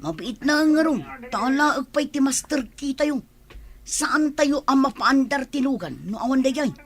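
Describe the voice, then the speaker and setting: An eager Filipino crook's sidekick in a radio drama. The voice is high, with a gleeful, deferential way of speaking to the boss. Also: female voice, expressive, unhurried.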